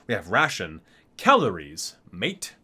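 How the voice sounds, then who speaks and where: A man's voice: sits low, huge pitch swings, unhurried, sharp tone, slightly rough. A man doing an ASMR video, reading item entries aloud item by item and adding enthusiastic casual asides. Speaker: ASMR video creator, gamer.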